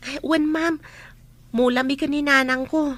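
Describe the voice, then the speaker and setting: A dutiful teenage schoolgirl, voiced by a woman in a radio drama. Her voice is high, and she speaks earnestly and politely, with some hesitation. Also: fairly even intonation, a little slow, fairly bright tone, dry recording.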